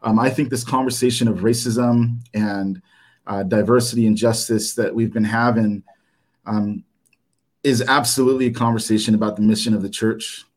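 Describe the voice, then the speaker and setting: A man in his thirties whose first language was Japanese, giving a nervous, halting personal testimony to a church congregation, full of fillers and restarts. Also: on the low side, fairly even intonation. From United States. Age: 30s. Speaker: church member giving a talk.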